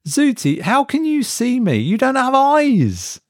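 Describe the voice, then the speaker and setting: A man's voice: very high voice, huge pitch swings, takes it slowly, no echo, clean studio sound, pitched above the performer's own voice, heavily acted. A man voicing a weary, irritable office worker whose voice climbs when he is strained. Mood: uneasy. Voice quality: strained, rising in exasperation.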